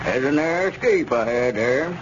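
A man's voice: mid-range voice, expressive, normal speed, close to an everyday voice. An actor playing a pompous, scheming older squire who whines about his suffering, in 1930s radio comedy style. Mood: smug. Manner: self-important, whining about his suffering. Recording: dull scratchy 1930s radio broadcast.

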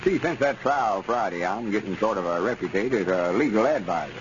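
Radio comedy: self-important Southern rustic man , male actor, fairly low pitch, noisy 1930s recording. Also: animated, fairly quick, muffled recording, close to an everyday voice. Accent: rural Southern US. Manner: pompous, boastful. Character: Lum, vain, self-important backwoods man, would-be lawyer.

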